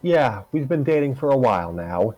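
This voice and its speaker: Man playing an anxious, dramatic teenage girl, mid pitch. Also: big pitch swings, moderate pace, darkish tone, dull audio, soft background music. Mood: sheepish. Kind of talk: comedic amateur fan fiction dramatic reading.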